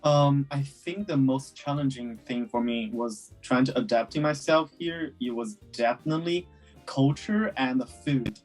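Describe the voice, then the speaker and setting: A young man from China speaks easygoing English, his first language Chinese, at a middle pitch. He fills his answers with "um" and "like" as he thinks aloud. Faint guitar music plays behind him. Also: a little slow. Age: 20s. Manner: relaxed, many fillers like "um" and "like". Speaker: guest. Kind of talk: casual interview answers.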